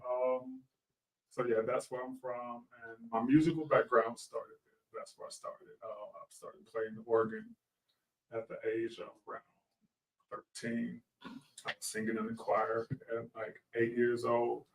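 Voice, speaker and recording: A man's voice: medium pitch, lively intonation, unhurried, gravelly, recorded from a distance, poor audio. A man from Arkansas answering interview questions casually as a musician guest, polite, understated and a little hesitant. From Arkansas, southern United States. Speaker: guest musician, gospel singer and organist.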